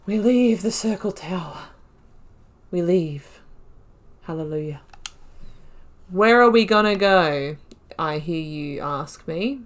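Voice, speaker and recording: A woman's voice: fairly low pitch, slow, long pauses. Chatty young woman gaming commentary. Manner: chatty, excitable, talks to herself while playing. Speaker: gaming channel host. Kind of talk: casual let's-play commentary.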